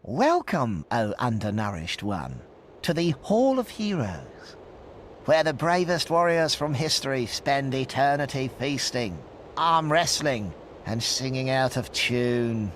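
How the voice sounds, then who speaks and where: A disdainful stone gargoyle in a video game, dry and grandly mocking. The mid-pitched voice swings expressively and trails into a long hall reverb. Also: male voice, sing-song, takes it slowly, a little hiss or hum. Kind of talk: video game narrator acting.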